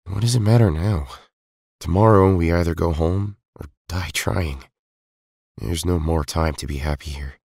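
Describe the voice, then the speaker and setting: Young male hero man performing, audio drama: earnest, stubborn, husky and dry-recorded. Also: sits low, moderate pace, a little mellow, no room sound, heavily acted. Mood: resigned, guarded. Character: Tommy, wounded but stubborn, loyal young male hero. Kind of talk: amateur audio drama acting.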